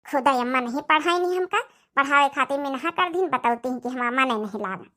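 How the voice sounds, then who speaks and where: A woman's voice: sits high, lively intonation, a little fast, sharp tone. A woman voicing a chatty, insistent, scheming village mother with an Awadhi accent in a cartoon comedy. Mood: persuasive. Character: scheming village mother pushing her favoured daughter. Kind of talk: rustic cartoon comedy acting.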